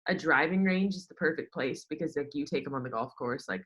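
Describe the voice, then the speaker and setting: A woman's voice: sits low, brisk, fairly bright tone. A young American woman chatting casually as an interview guest, sprinkling "like" through her sentences and often acting out what people said. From United States. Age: young adult. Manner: chatty, peppered with "like", quotes herself and others. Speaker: interview guest, former college golfer in sports media. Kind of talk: casual chat, personal anecdotes.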